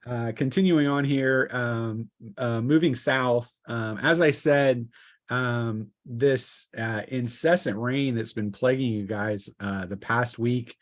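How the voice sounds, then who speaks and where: A man with a mid-range voice works as game master at a tabletop session. He improvises the narration as he goes, in a halting, hesitant way, feeling for each phrase. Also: medium pitch, no room sound, clean studio sound.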